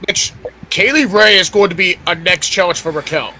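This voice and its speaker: A man's voice: high voice, moderate pace, bright, forceful tone, slight background noise. A man giving casual sports commentary as a podcast panelist, insistently repeating and rephrasing his points.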